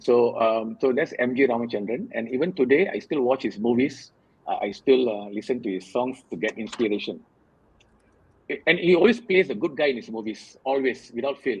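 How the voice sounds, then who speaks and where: A man in his fifties from Singapore, a guest in an interview, telling his story in an earnest, measured way with many fillers. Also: mid-range voice, breathy edge, no echo, dull audio. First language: Tamil. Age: 50s. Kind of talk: reflective conversational interview.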